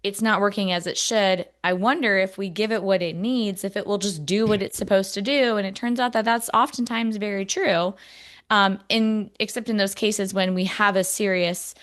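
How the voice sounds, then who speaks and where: A woman's voice: medium pitch, fairly even intonation, moderate pace, a bit bright, dry recording, clean studio sound. A woman hosting a podcast, chatting conversationally with fillers as she steers the interview. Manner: conversational, with fillers and agreeing murmurs. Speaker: podcast host. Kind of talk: casual interview chat.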